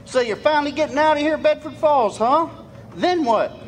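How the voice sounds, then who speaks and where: An actor playing a folksy small-town taxi driver with a drawl, in a live amateur radio drama. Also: male voice, extremely high pitch, normal speed, a little hiss or hum, poor audio. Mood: curious.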